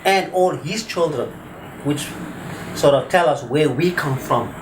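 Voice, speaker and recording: A man's voice: on the high side, a little slow, background noise. A man in his fifties with a South African accent, talking in a loose, conversational way with many fillers. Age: 50s. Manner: conversational, rambling, full of fillers like "sort of". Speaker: interviewee, attorney. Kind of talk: casual oral-history interview.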